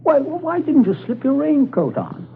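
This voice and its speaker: A man's voice: very high-pitched, wildly swooping pitch, measured pace, creaky, full character voice. An actor playing a domineering middle-aged English father in an old-time radio drama, genteel and over-hearty with a coolly scheming, falsely genial manner. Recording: tinny, noisy old radio recording.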